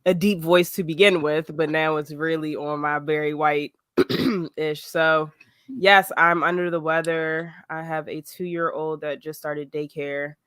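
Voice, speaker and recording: Woman with a cold talking hoops, crisp bright voice, real dry close sound. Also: low voice, unhurried, dry recording. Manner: laughs easily, relaxed and conversational. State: sick with a cold, voice rough. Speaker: podcast co-host. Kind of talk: casual basketball chat.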